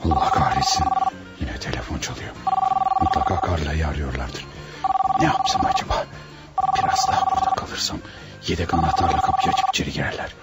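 An actor in a radio drama playing a panicked, scheming killer who mutters dramatically to himself. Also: male voice, a bass voice, a little fast, over loud music. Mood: panicked, cursing. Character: panicked scheming male killer hiding beside his victim. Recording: noisy old radio drama recording.

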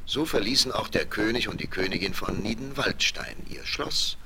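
A man narrating a scripted fairy tale with expressive, deliberate storytelling, on an old 1970s vinyl record. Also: fairly low pitch, creaky, noisy background.

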